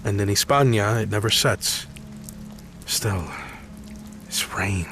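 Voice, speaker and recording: An actor playing a stoic, devout captain as a video game hero, measured and formal in his speech. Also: on the low side, background noise. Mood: solemn, awed. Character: stoic, devout male captain from a sunlit empire. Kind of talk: video game protagonist acting.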